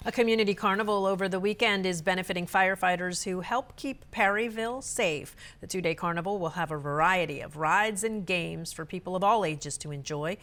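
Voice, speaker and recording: A woman's voice: fairly low pitch, brisk, few pauses, fairly bright tone. A woman reporter reading scripted narration for local news stories.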